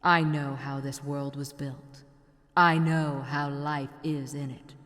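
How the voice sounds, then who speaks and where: A woman's voice: sits low, flat delivery, slow pace, a bit bright. Cold female inquisitor, game drama, commanding, solemn, declamatory, long reverberant hall. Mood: imperious. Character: imperious female inquisitor, cold, absolute believer in law. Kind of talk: theatrical scripted game dialogue.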